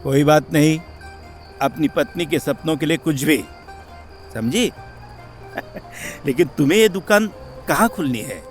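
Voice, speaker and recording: A man voicing an affectionate, supportive village husband in a cartoon story, reassuring and expressive. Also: fairly high pitch, unhurried, long pauses, soft background music, natural voice. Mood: encouraging, teasing. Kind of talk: cartoon moral story voice acting.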